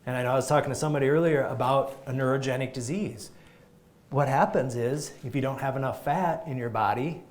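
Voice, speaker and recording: Male chiropractor giving a live talk to a group, energetic, emphatic, average-pitched voice. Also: a little fast. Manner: energetic, emphatic, frequent restarts.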